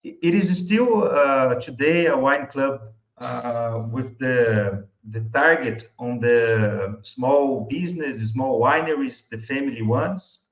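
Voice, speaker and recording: Brazilian guy w portuguese accent, native portuguese, slow halting casual chat, kinda echoey room. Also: mid-range voice. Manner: halting, searching for words, often chuckling. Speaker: interview guest, wine YouTube creator. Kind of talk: casual interview talk. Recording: muffled, echoey room sound.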